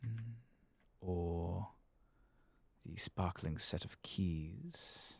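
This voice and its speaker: Very deep male voice, slow soft-spoken ASMR gaming commentary, breathy, often whispering, murmuring to himself. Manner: low-key, murmuring to himself while playing. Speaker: streamer playing a video game.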